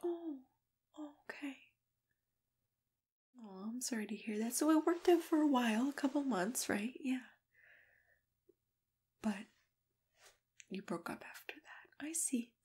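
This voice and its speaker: A woman playing a kindly, attentive matchmaker in a soft, gentle ASMR roleplay, often dropping into whispers as she talks unhurriedly to a client. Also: high voice, animated, measured pace, long pauses, breathy edge, heavily acted. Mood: sympathetic. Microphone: close ASMR microphone.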